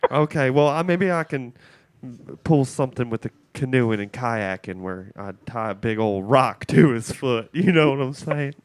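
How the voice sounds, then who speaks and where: Prank-call role: fed-up, scheming wife acted by a man, deadpan and morbid, mid-pitched for a male voice, very dry sound. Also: normal speed, smooth, no echo, close to an everyday voice.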